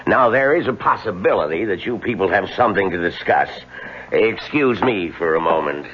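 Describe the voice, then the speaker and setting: An actor playing a courteous, considerate older boss who speaks hesitantly in a radio drama. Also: male voice, deep voice, expressive, moderate pace. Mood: sympathetic. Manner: hesitant, tactful. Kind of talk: 1970s radio drama acting. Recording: dull old radio, faint noise.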